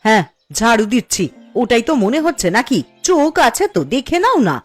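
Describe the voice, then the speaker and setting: A woman's voice: fairly high pitch, sing-song, a little fast, faint music behind. A woman voicing a peevish, competitive village housewife in a cartoon, with a snappish, complaining way of talking. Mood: snappish, defiant. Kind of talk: Bengali cartoon voice acting.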